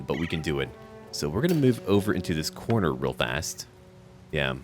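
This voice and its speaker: A man giving casual let's-play commentary, thinking aloud in a chatty, relaxed way and calling out to his party. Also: sits low. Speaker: gamer playing a role-playing game.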